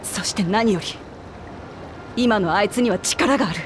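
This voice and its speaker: A woman voicing a young lion-woman warrior queen in a video game, passionate and earnest, with rough, tomboyish speech. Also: medium pitch, normal speed, background noise, natural voice. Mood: grave, resolute. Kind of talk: dramatic video game voice acting.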